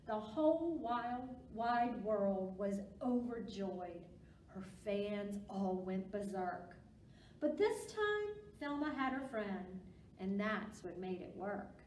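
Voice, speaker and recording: A woman reading aloud to young children at a storytime, with simple, encouraging, expressive delivery. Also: mid-range voice, takes it slowly. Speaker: librarian storytime reader. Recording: roomy, distant mic with background noise.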